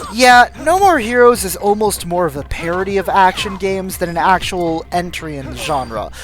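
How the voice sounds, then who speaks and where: Dryly funny video essayist over music, mid-pitched voice. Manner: dry, self-deprecating humour. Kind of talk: scripted video game review narration.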